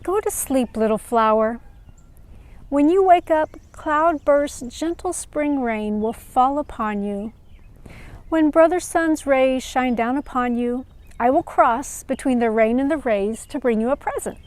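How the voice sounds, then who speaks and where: Storybook rainbow spirit, played by a woman: nurturing big sister, lightly high voice, gentle and reassuring. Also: a little slow. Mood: soothing.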